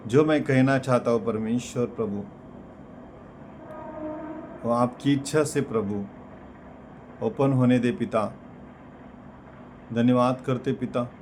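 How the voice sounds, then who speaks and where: A man praying and then telling his personal testimony, with a halting delivery that keeps repeating words of address to God. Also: medium pitch, a little mellow, a little hiss or hum. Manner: slow, halting delivery with many repeated "Lord" and "Father" fillers. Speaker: preacher giving a testimony.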